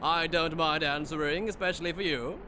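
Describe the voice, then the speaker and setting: Sneering, smug anime villain aristocrat. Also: male voice, on the high side, fairly even intonation, pushed and bright, a little hiss or hum. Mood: mocking, condescending. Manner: theatrical, condescending, drawn-out mocking delivery. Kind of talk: anime fan dub acting.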